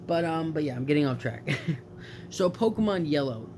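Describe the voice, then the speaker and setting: A man's voice: on the high side, lively intonation, normal speed, background noise. A young man with a stuffed-up nose chatting casually about video games, rambling with lots of fillers and self-corrections. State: stuffed up from allergies. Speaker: gaming YouTuber. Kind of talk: casual late-night video chat.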